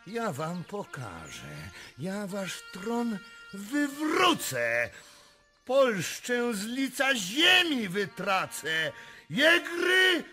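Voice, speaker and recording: An actor playing an arrogant, menacing Russian ambassador, quick to rage, in a radio drama. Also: male voice, very high voice, exaggerated voice. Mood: outraged. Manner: haughty, menacing.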